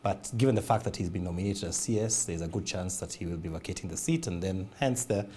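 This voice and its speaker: A man with a very deep voice hosts an interview and puts brief, plain questions to his guest. Faint background noise sits under his voice. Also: fast, mellow. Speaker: interview host.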